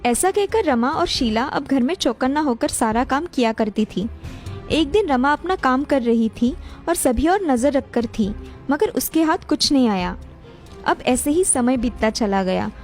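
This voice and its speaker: A woman's voice: high-pitched, darkish tone. A woman narrator reading a scripted cartoon family story.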